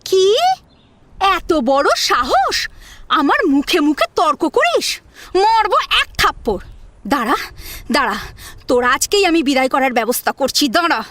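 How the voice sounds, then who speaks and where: A woman's voice: sits high, big pitch swings, moderate pace, long pauses, fairly bright tone, a little hiss or hum. A woman playing a bullying, short-tempered young sister-in-law in an audio drama, scolding and haughty as she gives orders. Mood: enraged. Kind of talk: Bengali audio drama acting. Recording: music under the voice.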